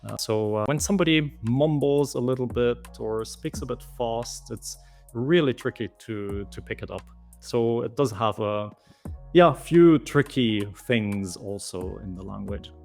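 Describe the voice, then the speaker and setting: A man's voice: mid-range voice, a little slow, creaky, darkish tone, faint music behind. Man explaining thoughtfully. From southeast Germany. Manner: thoughtful, explanatory, lightly amused. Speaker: guest, language course author. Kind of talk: conversational interview answers.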